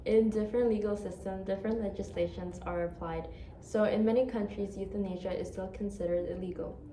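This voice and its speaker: A woman's voice: medium pitch, fairly quick, slight background noise. Young woman from Indonesia, echoey reading. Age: young adult. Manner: steady reading of case facts and statutes. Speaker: law student. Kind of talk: read-aloud presentation. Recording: echoey room, a bit far from the mic.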